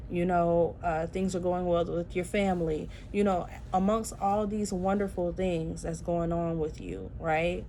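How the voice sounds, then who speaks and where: A woman's voice: on the low side, mellow, a little hiss or hum. Sleepy-voiced woman doing a chatty tarot reading. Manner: chatty, addresses viewers as y'all, many fillers. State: sleepy, tired early morning. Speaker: tarot reader. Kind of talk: casual tarot reading to viewers.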